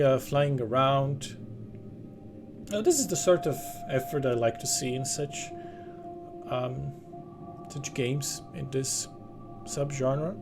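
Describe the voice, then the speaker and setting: Male game channel host reading story text and adding commentary, medium pitch, music underneath.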